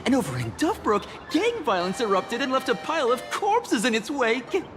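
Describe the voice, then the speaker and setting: A woman's voice: high-pitched, huge pitch swings, moderate pace, over music. A woman playing a devout, effusive recruiter for a happiness society in a video game, speaking formally and preachily. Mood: fervent. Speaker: recruiter for a mutual aid society. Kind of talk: theatrical video game dialogue.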